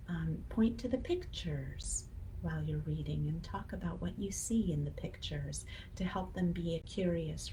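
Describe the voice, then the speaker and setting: Female educator, slightly low voice, encouraging and conversational, addressing parents; some background noise. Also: darkish tone, poor audio. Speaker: children's literacy educator and blog host. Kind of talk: casual educational talk to parents.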